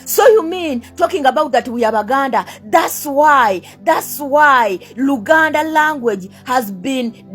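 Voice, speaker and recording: A high-voiced young Ugandan woman with a Ugandan accent rants straight to camera. She hammers the same questions again and again, mixes two languages and curses at her critics. Faint music plays underneath. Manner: heated, repeats rhetorical questions, switches between two languages; swears and sneers at critics. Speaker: vlogger. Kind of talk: angry rant to camera.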